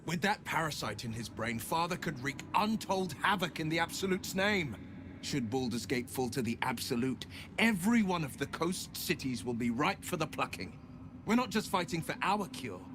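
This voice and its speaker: An actor playing a noble, resolute young hero who speaks in an earnest, rousing way. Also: male voice, on the high side, very animated, moderate pace, background noise. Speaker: game companion. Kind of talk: video game dialogue.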